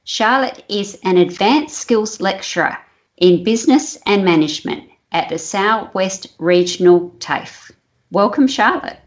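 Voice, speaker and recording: A woman's voice: medium pitch, expressive, measured pace, muffled recording. A woman hosting a business podcast, introducing her guest and topic in a friendly way. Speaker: podcast host. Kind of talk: podcast interview.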